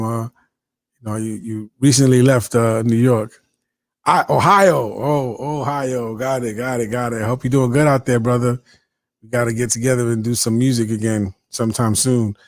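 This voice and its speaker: A man's voice: mid-range voice, darkish tone, no echo, studio quality. A man in his early fifties hosting a casual livestream chat, talking to viewers and reading out their comments as he goes. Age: 50s. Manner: chatty, reads out viewer comments, often interrupts himself. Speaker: host.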